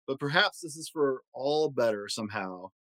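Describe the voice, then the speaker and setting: A man's voice: mid-range voice, expressive, normal speed, a bit bright, no echo, natural voice. A man voicing a defiant old grandfather in a storybook, scolding and proclaiming.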